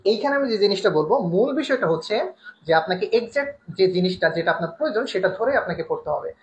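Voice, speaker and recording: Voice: medium pitch, lively intonation, very fast. A Bangladeshi coaching teacher giving an informal, emphatic advice lecture to students, repeating points, asking rhetorical questions and mixing in English phrases. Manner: mixes in English words and phrases. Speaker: nursing admission coaching teacher. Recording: echoey room, dull muffled sound.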